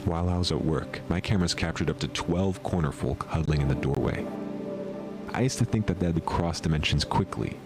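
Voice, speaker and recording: A man playing an obsessed researcher, narrating analog horror in a measured, formal, clinical way. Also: low-pitched, loud music behind the voice. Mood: clinical, fascinated. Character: obsessed male researcher documenting otherdimensional creatures at home.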